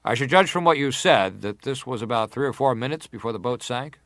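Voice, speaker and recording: A persistent senator questions a witness in a dramatized hearing. His delivery is formal and deliberate at a medium pitch, recorded close and dry. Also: animated, a bit bright, no echo. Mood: grave, probing. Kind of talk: dramatized reading of hearing testimony.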